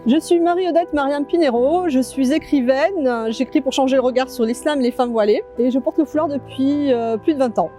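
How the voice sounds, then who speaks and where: A woman in her forties, a writer, speaking in a measured, reassuring way in an interview. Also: high voice, mellow, soft background music.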